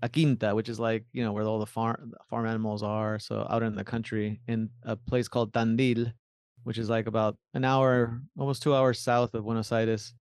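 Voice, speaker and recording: Smooth-voiced man, Miami-raised guest. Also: lowish voice, little pitch movement, normal speed, darkish tone, no echo, studio quality. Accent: American, Miami. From Argentina, raised in Miami. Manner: rambling, many restarts and likes. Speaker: composer, guest. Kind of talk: casual interview answers.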